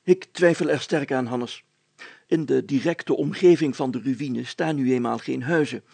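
A man's voice: mid-range voice, measured pace, gravelly, darkish tone, breathy edge. A man voicing a pompous, bossy village mayor in a storybook, grandly declaiming like a self-styled hero. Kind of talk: storybook character voice.

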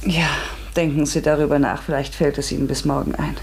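A woman's voice: deep voice, little pitch movement, fairly quick, creaky, a little mellow, slight background noise. An actress playing an earnest, inquisitive and easily bewildered woman in a radio play, speaking tentatively. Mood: probing, sympathetic. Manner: tentative, questioning. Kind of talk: German radio play acting.

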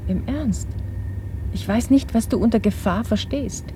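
An actress in a German radio drama playing a resigned, cryptic wife who has seen the future. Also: female voice, a little high, a little slow, background noise, lightly acted. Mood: guarded.

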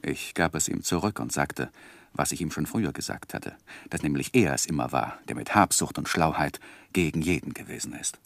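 An actor playing a composed first-person narrator in a literary radio drama, measured and articulate. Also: male voice, very deep voice, creaky, dry recording. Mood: reflective. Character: composed male narrator recounting his own life story.

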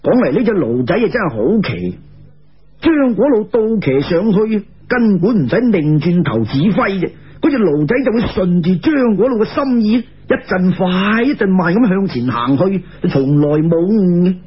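A male storyteller with a Cantonese accent narrates folk tales in a colloquial, expressive way. His rather high voice is very rough and swings widely in pitch. The recording is dull and lo-fi. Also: moderate pace. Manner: colloquial, expressive, with conversational particles.

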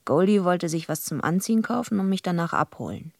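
A woman's voice: lowish voice, expressive, a little fast, creaky, darkish tone, no echo. A woman playing a tough young female wrestler in a German radio drama, speaking in a blunt, dry, unpolished way. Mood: dry, brooding. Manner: blunt, dry, unpolished first-person telling. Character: tough young female wrestler narrating her crime story.

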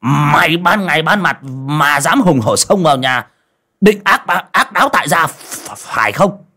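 A man's voice: medium pitch, expressive, slow, fairly bright tone, pitched above the performer's own voice. A man voicing a cowardly, blustering older village coffin maker who stammers and speaks coarsely in an audiobook story. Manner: stammering, panicky, coarse rustic speech.

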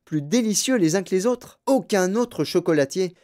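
A narrator voicing a grandfather in his nineties, an excitable and fond storyteller full of emphatic exclamations, in a storybook. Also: medium pitch, moderate pace, voice pushed up, natural voice. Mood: marvelling, boastful. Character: excitable, awestruck very old grandfather, vivid storyteller.

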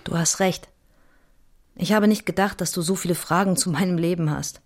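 An actress playing an insistent woman in her late thirties, clearly enunciating in a naturalistic radio drama. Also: lowish voice, steady tone, normal speed. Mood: subdued, confessional. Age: 30s. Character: Mira, tireless, insistent interpreter friend in her late thirties.

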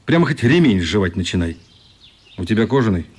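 Husky, animated, good-natured man. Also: on the low side, brisk. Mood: rueful, grumbling. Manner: informal, animated, grumbling good-humouredly. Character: good-natured, exasperated grown man, a playful travelling companion. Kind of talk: radio play acting.

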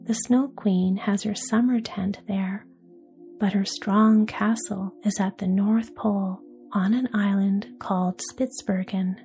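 A woman voicing a gentle male reindeer in a soft whisper, in a storybook tale. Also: medium pitch, steady tone, takes it slowly, soft background music. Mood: proud.

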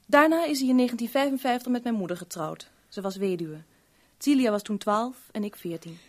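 A young woman of wealthy family in a radio play. Her voice is on the high side. She is guarded and proud, protective of her own, and speaks with formal reserve. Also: expressive, a little fast. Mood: guarded, reticent. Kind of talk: Dutch radio play acting.

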